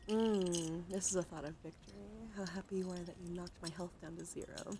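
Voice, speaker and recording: A woman streaming ASMR roleplay as a soothing bedtime monologue, speaking gently and reassuringly in slow, short phrases that often drop into whispers. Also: lowish voice, fairly even intonation, noisy background. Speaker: live streamer, ASMR host.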